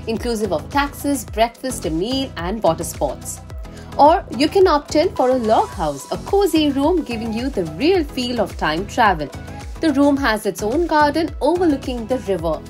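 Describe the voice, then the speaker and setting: A woman reading scripted promotional travel narration in a polished, expressive way. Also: on the high side. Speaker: voice-over narrator. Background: background music.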